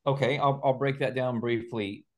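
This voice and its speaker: A man's voice: medium pitch, steady tone, moderate pace. A man speaking as an interview guest on a video call, explaining ideas methodically, step by step. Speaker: guest author and researcher.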